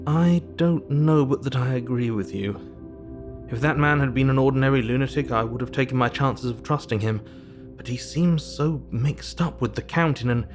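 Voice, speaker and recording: An actor playing a stern, measured asylum doctor narrating his account formally in an audio drama. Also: mid-range voice, close to an everyday voice.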